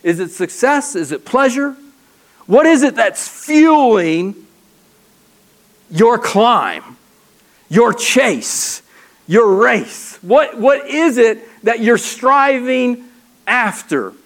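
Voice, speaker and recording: A man preaching a sermon from a church stage, animated and full of rhetorical questions and repeated phrases. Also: extremely high pitch, very slow, pauses often. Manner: animated, acts out people's inner voices. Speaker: pastor. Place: church stage with a ladder prop.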